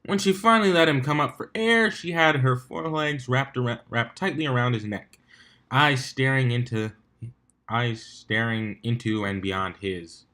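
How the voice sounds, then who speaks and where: Male fan fiction reader, mid-pitched, for scripted story narration. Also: moderate pace, bright, forceful tone.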